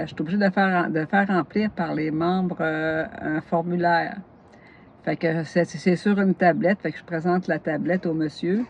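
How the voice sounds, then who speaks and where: A woman's voice: lowish voice, muffled recording. A woman from northern Gaspésie with a Québécois accent, a knitting vlogger who chats casually, laughs a lot and jumps between topics while tired. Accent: Québécois French. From northern Gaspésie, Quebec. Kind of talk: casual chat.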